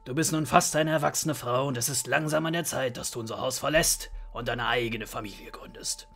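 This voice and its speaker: A man playing a stern, unyielding dwarven father in a fantasy audio drama, speaking formally and with authority in a raspy voice. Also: mid-range voice, a little fast, fairly bright tone, soft background music. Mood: solemn, formal.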